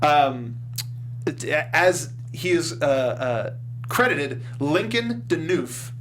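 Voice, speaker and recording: A man's voice: a little high, animated, extremely slow, a bit bright, a little hiss or hum. A man hosting a movie review show, chatting casually with his fellow panelists.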